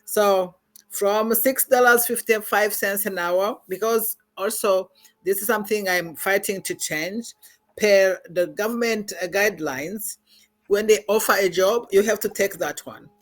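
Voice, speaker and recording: A middle-aged woman with a Rwandan accent speaks as a podcast guest. Her delivery is earnest and measured, broken by frequent pauses and fillers. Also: mid-range voice. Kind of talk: personal testimony in a podcast interview.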